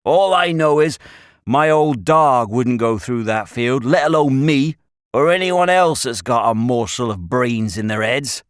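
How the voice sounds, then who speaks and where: A man's voice: medium pitch, a little slow, clear, resonant tone, no room sound, clean studio sound, voice pushed up, natural voice. A man voicing a grave old country shepherd with a rural English accent, speaking emphatic, folksy dialect in a storybook reading. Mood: grave warning.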